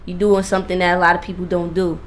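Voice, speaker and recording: A woman's voice: fairly low pitch, little pitch movement, quick pace. Casual woman radio host, noisy recording. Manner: informal, emphatic, repeats phrases for emphasis. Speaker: radio DJ and interviewer. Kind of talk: casual, slangy radio interview chat.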